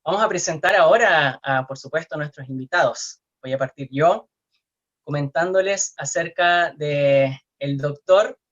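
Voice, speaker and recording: A man's voice: a little high, unhurried, fairly bright tone, lo-fi sound. A man with a Chilean Spanish accent co-hosting an online forum, speaking hesitantly with many fillers and tag questions. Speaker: co-host.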